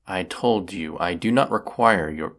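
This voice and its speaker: A brooding villainous wizard of the woods, acted by a man for a fantasy audio roleplay. His voice sits low with a creaky, gravelly edge. He speaks slowly and formally, with stern deliberation. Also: fairly bright tone, full character voice. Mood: curt, impatient.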